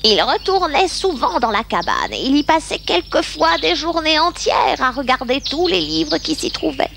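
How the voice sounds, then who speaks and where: High, animated woman storyteller. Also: moderate pace. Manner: expressive storytelling. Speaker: narrator. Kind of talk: storybook narration for children. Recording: clear background noise behind the voice.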